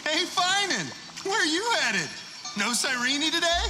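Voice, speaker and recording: A woman's voice: sits high, very animated, measured pace. A woman playing a cheery, eager young village child in a video game. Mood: cheerful, curious. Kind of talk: video game character dialogue. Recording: distant, reverberant, music behind.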